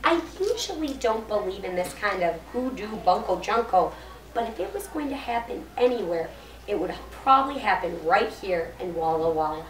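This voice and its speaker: A woman's voice: mid-range voice, lively intonation, normal speed, close to an everyday voice. A woman voicing an emphatic female school staffer in a storybook, spouting playful rhyming slang. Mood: suspicious. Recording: noisy echoey room, far from mic.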